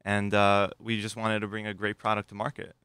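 A man's voice: on the low side, completely flat delivery, a little fast, bright, forceful tone, clean tone, dry recording. A man speaking as a guest on a radio show in a studio, plain and matter-of-fact. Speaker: guest, food company owner. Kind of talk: radio interview.

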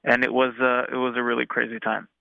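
An earnest man in his thirties with an American accent tells his story slowly and reflectively, pausing on fillers and restarting his sentences. His voice comes through a thin, phone-like line with no room sound and sits at an ordinary pitch for a man. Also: monotone, vocal fry, no room sound. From Israel, raised in the United States. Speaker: interview guest, musician. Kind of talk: casual interview storytelling.

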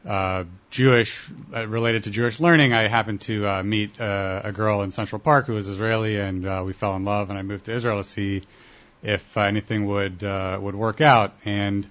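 A man's voice: fairly low pitch, steady tone, moderate pace, fairly bright tone. A young man from Ohio answering interview questions casually, with many hesitant fillers. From Columbus, Ohio. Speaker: guest. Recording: dull lo-fi broadcast audio.

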